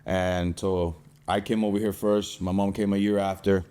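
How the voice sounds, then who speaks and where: A man's voice: low voice, flat delivery, moderate pace, warm tone, smooth. A young man from Cuba telling his personal story as a podcast guest in a casual, streetwise way, with slang and swearing.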